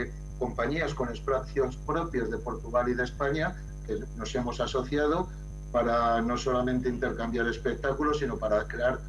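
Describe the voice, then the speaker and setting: A man's voice: mid-range voice, low-quality recording. A man giving a theatre talk over a video call, hesitant, with restarts. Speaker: invited speaker on theatre.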